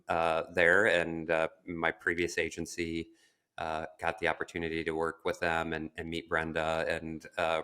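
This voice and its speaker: Low-voiced man co-hosting a podcast. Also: bright, forceful tone, clean tone. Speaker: podcast co-host and marketing agency founder. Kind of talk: casual podcast conversation.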